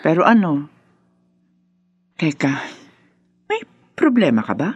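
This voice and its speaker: An older Filipino grandmother in a radio drama, her low voice swinging expressively through every line. She is generous and insistent, a mother used to having her way, heard close and dry. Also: huge pitch swings, slow, a little mellow, breathy edge, dry recording, lo-fi sound, heavily acted. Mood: concerned, insistent.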